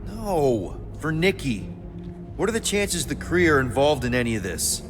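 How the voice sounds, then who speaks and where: An actor playing an easygoing, boyish space crew leader in a video game, casual, slangy and expressive. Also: male voice, a little high, normal speed, big-room sound, music in the background. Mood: worried. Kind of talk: video game character acting.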